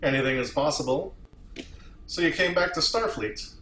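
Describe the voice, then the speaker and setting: A man's voice: fairly high pitch, moderate pace, sharp tone. A man playing a formal, proud, sardonic starship captain in an amateur sci-fi script reading. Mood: wry. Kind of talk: amateur table read of TV script. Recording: echoey room with faint noise.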